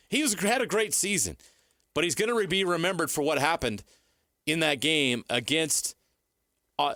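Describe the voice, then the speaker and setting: A man's voice: a little high, sharp tone, dry recording, studio quality. An American man co-hosting a sports talk show, giving opinionated, blunt commentary in an informal, conversational way.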